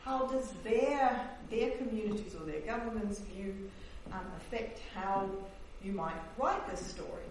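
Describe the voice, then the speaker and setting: A woman's voice: mid-range voice, measured pace, hardly any pauses. Woman academic giving a hesitant book talk, heard from a distance in a very echoey hall. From Netherlands, raised in New Zealand. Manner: hesitant, many fillers and restarts. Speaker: historian presenting her book. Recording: echoey hall, distant mic, faint hiss.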